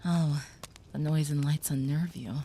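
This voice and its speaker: A woman voicing a gentle, protective young female vampire in an audio roleplay, speaking softly and reassuringly, sometimes whispering. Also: deep voice, steady tone, a little slow, slight background noise.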